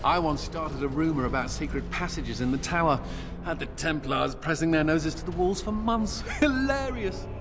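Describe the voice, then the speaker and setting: A man's voice: on the high side, expressive, moderate pace, over music. A man playing a witty, flippant mage adventurer in a video game, with a playful, sardonic manner. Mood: amused.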